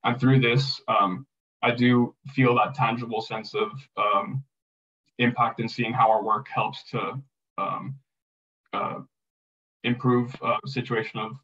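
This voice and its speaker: A man's voice: mid-range voice, level tone, measured pace, a bit bright, far from the mic, muffled recording. A man on a panel describing his loan management work, speaking hesitantly with many fillers, over a video call. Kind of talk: prepared talk on a panel.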